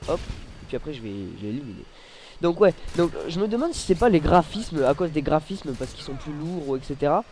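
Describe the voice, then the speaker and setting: Gaming YouTuber with a head cold, higher mellow voice, hesitant and rambling over his gameplay, some faint noise behind. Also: lively intonation, brisk. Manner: rambling, hesitant, many fillers. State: has a cold. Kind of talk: casual gameplay commentary. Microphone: desk mic.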